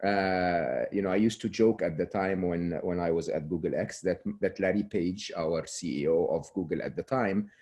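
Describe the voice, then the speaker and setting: A man's voice: low voice, normal speed, few pauses, darkish tone. A man, a guest talking earnestly over a remote call, often restarting and dropping his voice to close a point. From Egypt. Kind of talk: relaxed interview talk.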